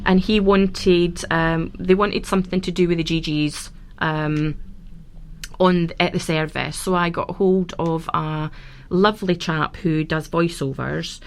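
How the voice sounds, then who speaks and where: A woman guest on a radio show, chatting warmly about her work as a celebrant, with frequent fillers and restarts. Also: fairly low pitch, steady tone, measured pace, clear, resonant tone. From Scotland. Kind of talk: casual radio interview. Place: radio studio. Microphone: studio microphone.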